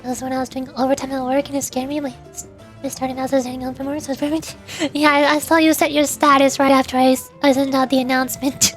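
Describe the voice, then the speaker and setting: High-pitched chatty woman streamer. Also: little pitch movement, fast, faint music behind. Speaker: livestreamer (VTuber) playing and voicing a game. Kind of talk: casual livestream chat with viewers.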